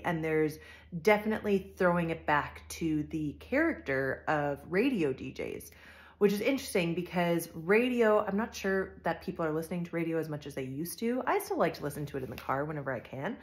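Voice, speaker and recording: A woman in her thirties chatting casually and conversationally to camera at home, recorded on a phone at arm's length. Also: lowish voice. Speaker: vlogger. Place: furnished living room at home.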